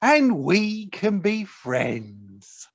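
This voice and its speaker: An actor playing a scheming, bullying chief weasel in an audio play, taunting and contemptuous, with a gravelly voice. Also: medium pitch, sing-song, drawn-out words, dull audio, full character voice. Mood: taunting, sly. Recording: home recording over video call.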